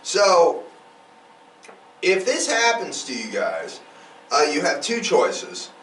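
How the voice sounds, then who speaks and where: A man's voice: on the high side, wildly swooping pitch, takes it slowly, a bit bright. A man hosting a podcast, chatting casually in a rambling, conversational, explanatory way. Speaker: podcast host. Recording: roomy echo, distant mic, faint noise.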